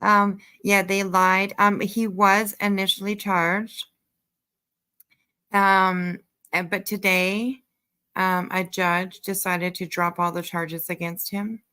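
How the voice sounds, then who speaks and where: Slow, bright-voiced woman streaming casually. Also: mid-range voice, little pitch movement, smooth. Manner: hesitant, rambling. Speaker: livestream host. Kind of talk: casual livestream chat and commentary.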